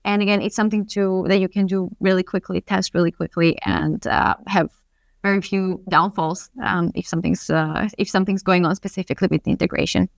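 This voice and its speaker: A woman's voice: medium pitch, steady tone, brisk, creaky, no echo, clean studio sound. A woman leading customer success, answering webinar questions in a reassuring way with lots of ums and restarts, over a video call mic. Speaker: customer success team lead.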